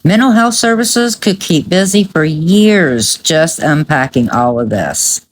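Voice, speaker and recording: A woman with a low voice gives conversational true crime commentary. She is blunt and judgmental, leaning hard on her points. There is noticeable noise behind her. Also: animated, slow, rough recording.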